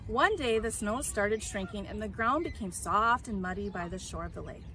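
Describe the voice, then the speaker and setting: Expressive woman reading aloud, noisy recording. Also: mid-range voice, moderate pace. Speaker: story reader. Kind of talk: children's storybook read-aloud. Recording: clear background noise.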